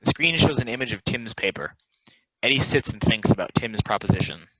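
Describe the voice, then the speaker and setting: Low-voiced man reading stage directions. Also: animated, brisk, a bit bright, breathy edge, no echo, highs cut off. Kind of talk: scripted narration, play table read.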